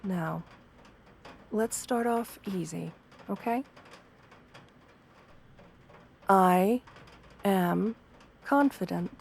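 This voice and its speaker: Soft-spoken, gentle wolf-girl therapist played by a woman. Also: mid-range voice, gravelly, slight background noise. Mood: calm, guiding. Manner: soft-spoken, soothing, measured, reassuring. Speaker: therapist character speaking to a patient. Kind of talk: ASMR roleplay, guided affirmations.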